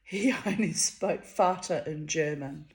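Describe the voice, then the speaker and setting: A Hungarian-born woman reading her own diary entries aloud, wry and theatrical, her voice swinging high and low for effect. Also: measured pace. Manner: wry, theatrical, dramatic swings of pitch and loudness.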